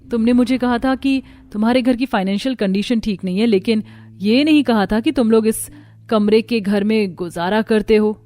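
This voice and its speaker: A woman's voice: fairly high pitch, normal speed, warm tone, lightly acted. Woman playing a haughty, spoiled young rich bride. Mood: disdainful. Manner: disdainful, complaining, sprinkles English words. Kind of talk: Hindi cartoon story voice acting.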